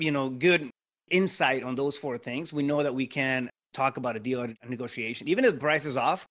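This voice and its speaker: A man's voice: mid-range voice, a bit bright. Man, Mexican Spanish accent, native Spanish speaker, podcast guest, explains step by step, conversational. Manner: explanatory, lays out steps, frequent tag questions. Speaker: podcast guest, real estate investor. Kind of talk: casual interview talk.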